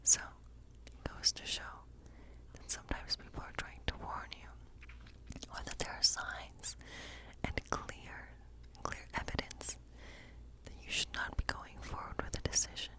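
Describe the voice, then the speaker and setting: A woman playing a gentle witch in an ASMR tarot role play, speaking in a soft, breathy, unhurried whisper. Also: lightly acted, rough recording. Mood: soft, musing. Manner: gentle, unhurried, softly musing. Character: friendly cottage witch woman reading tarot by night.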